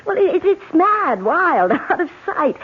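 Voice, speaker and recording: A woman's voice: extremely high pitch, big pitch swings, takes it slowly, exaggerated voice. A young woman with an American accent acting a gushing, giddy newlywed heiress in a radio drama, stammering and repeating herself. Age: young adult. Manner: breathless gushing, stammering repetitions. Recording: tinny, noisy old radio recording.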